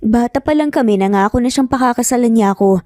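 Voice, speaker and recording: Woman acting a haughty, pouty, high-pitched young rival. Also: fast, dark tone, dry recording, clean studio sound, faint music behind, lightly acted. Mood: spiteful. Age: young adult. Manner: affected, pouty, taunting.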